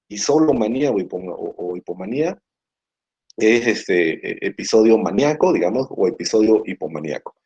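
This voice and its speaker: A man with a Peruvian Spanish accent lectures in a patient, explanatory way and checks in with tag questions. His voice sits low, mellow and a little husky. The recording is dull and lo-fi. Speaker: psychiatry lecturer. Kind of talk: university lecture. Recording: muffled lo-fi classroom recording.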